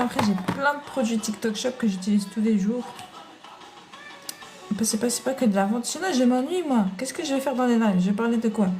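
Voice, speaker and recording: A woman's voice: a little high, warm tone. A woman with a foreign accent hosting a casual live shopping stream, chatting with viewers she calls family and reading their comments aloud. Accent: non-French accent. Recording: praise music playing behind.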